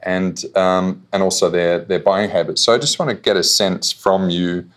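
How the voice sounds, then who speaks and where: A man with a low, deep voice hosts a casual interview podcast. He rambles a little, hedging and restarting as he sets up his questions. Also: steady tone, moderate pace. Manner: rambling, many fillers and restarts. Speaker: podcast host.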